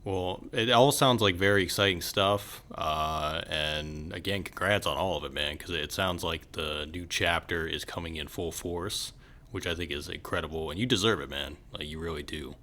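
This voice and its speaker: An American man hosts a podcast in a casual, conversational way. His voice is very low, with a crisp, forceful brightness. He speaks in an encouraging, affirming manner. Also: moderate pace. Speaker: podcast host. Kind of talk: casual interview chat.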